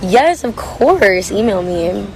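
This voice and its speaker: A young woman with a hoarse, worn voice chats casually while reading viewer comments on a livestream from a coffee shop. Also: medium pitch, sing-song, slow pace, darkish tone, slight background noise. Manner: chatty, rambling, reads comments aloud. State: hoarse, lost voice. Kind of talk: casual chat.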